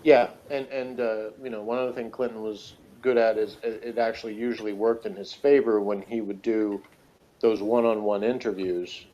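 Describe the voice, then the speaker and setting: A man's voice: fairly low pitch, flat delivery, normal speed, warm tone, dull audio. A man in a casual unscripted discussion as a podcast co-host, hesitant and rambling, often circling back over his phrases. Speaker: podcast co-host reviewing a TV show.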